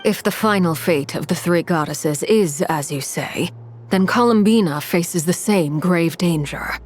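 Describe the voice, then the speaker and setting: A woman's voice: on the low side, unhurried. A woman playing a serious, resolute young woman in an anime game, speaking earnestly and formally. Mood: urgent, grave. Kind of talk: anime game dub acting.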